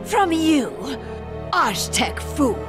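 Wrathful warrior empress for a game: commanding, theatrical, highish, slow and menacing. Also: female voice, sing-song, heavily acted. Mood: furious. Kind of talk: dramatic video game cutscene acting. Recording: game audio under loud music.

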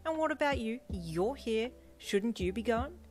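A woman voicing a brash, snarky young female gamer who swears and gushes excitedly, in a higher voice than her own. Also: normal speed, faint music behind, close to an everyday voice.

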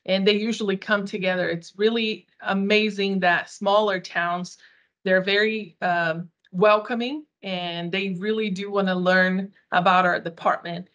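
A woman's voice: mid-range voice, a bit bright. Woman, portuguese first language, upbeat and earnest, over a video call. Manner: earnest, upbeat, some restarts. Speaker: community outreach coordinator. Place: small room.

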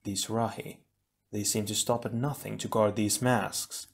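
A man's voice: on the low side, flat delivery, measured pace, vocal fry, husky, exaggerated voice. A man voicing a stern, reserved male ice hero who speaks formally and tersely. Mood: brooding. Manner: formal, clipped.

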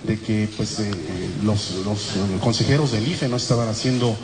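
Mexican radio reporter, stumbling and restarting, echoey press room. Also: male voice, fairly low pitch, steady tone, a crowd in the background. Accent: Mexican Spanish. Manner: halting, with many restarts and fillers. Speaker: radio reporter asking a question. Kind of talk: press conference question. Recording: echoey hall with background noise.

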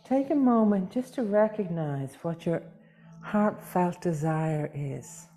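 Very slow, mellow woman guiding meditation. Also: lowish voice, soft background music. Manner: soothing, gentle, unhurried, with long pauses. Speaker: yoga nidra teacher. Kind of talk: guided meditation.

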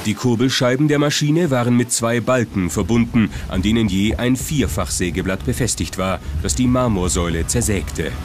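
A man's voice: lowish voice, normal speed, hardly any pauses. A man reading scripted documentary narration. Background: music.

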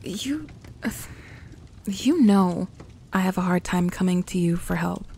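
A woman playing a young tsundere tomboy best friend in an intimate audio roleplay, grumbling and flustered but secretly affectionate, in a soft voice that often turns to whispering. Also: on the low side, animated, takes it slowly, a little hiss or hum. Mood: shy, soft. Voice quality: soft, breathy.

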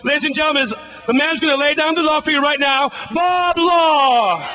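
A man shouting a stage introduction as emcee at an outdoor rally. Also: very high voice, normal speed, crowd noise, noisy background. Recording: long reverb of outdoor PA.

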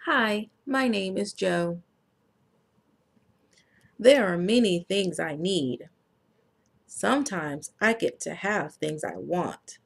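A woman's voice: fairly low pitch, expressive, gravelly, heavily acted. A woman reading as earnest little boy Joe. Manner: slow, plain picture-book reading.

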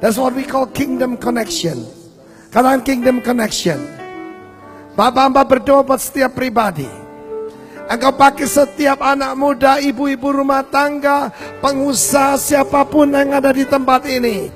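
A man's voice: very high-pitched, steady tone, a little slow. A male pastor leading a fervent prayer, half-singing his words. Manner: fervent, half-sung praying. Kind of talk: closing prayer and exhortation. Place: church service. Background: faint worship music.

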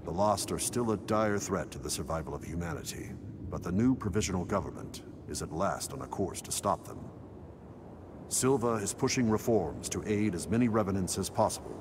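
An actor playing a dutiful, stern soldier in a video game, speaking in a formal, measured way. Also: male voice, sits low, expressive, background noise. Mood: formal, earnest.

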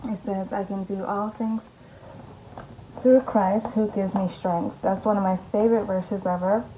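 A woman's voice: mid-range voice, steady tone, measured pace, background noise. A young woman talks straight into a close camera in her bedroom, chatty and upbeat. Her voice sits mid-range, easy and unforced, with frequent ums and restarts. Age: young adult. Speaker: mom vlogger. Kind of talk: casual vlog chat. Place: bedroom at home, indoors. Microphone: camera in front at close range.

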